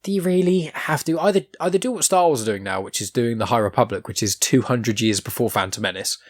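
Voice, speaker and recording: A man's voice: mid-range voice, expressive, quick pace. A man hosting a podcast, chatting casually in an opinionated, rambling way and swearing freely. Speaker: podcast host. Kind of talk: casual chat.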